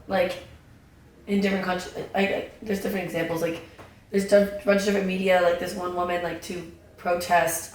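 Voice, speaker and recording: A young woman, a podcast guest, chatting casually in a slightly raspy voice, full of fillers and restarts. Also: on the low side. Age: young adult. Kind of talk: casual chat. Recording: echoey room, distant mic, faint noise.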